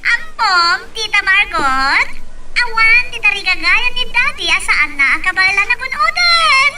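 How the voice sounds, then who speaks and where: A performer voicing a shrill, mocking young schemer in an audio drama, with drawn-out, theatrical wailing delivery. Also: very animated, far from the mic, exaggerated voice. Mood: mocking, whiny. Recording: very noisy, with clicks.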